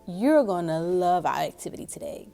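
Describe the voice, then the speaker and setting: A woman with a soft, mellow voice hosts a children's story time. She talks to young listeners in an encouraging, instructive way and often puts questions to them. Music plays along with her voice. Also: lowish voice, very animated, moderate pace, creaky.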